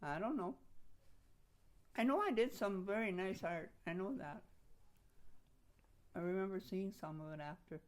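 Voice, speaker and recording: An older woman from Slovakia, a native Slovak speaker, casually reminiscing in an interview in a halting way, often repeating her phrases. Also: mid-range voice. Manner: halting, repeats phrases, chuckles while recalling.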